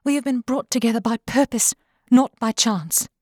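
A woman's voice: fairly high pitch, moderate pace, warm tone, no room sound, clean studio sound, pitched above the performer's own voice, natural voice. A woman voicing a composed, earnest female magic user who leads an adventuring party, patient but firm, in a fantasy audiobook. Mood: imploring.

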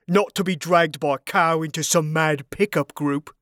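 A woman's voice: sits low, normal speed, no echo, studio quality, lightly acted. A woman voicing a sarcastic, foul-mouthed male wizard in a fantasy audiobook, mocking and theatrical in delivery. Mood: sneering.

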